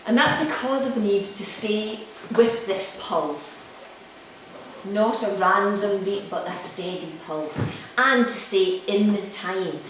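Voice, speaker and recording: A woman giving a live explanatory talk as a musician, with halting pauses. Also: medium pitch. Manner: explanatory, halting pauses, counts beats aloud. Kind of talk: live lecture with demonstrations. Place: indoor room with an audience. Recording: distant mic, very echoey room, faint noise.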